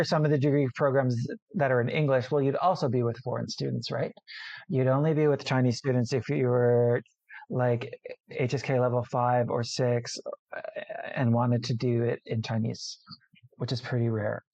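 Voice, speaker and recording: A man, an international student, answering interview questions casually, often restarting sentences and filling pauses. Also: medium pitch, no echo, lo-fi sound. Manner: hesitant, many restarts and fillers.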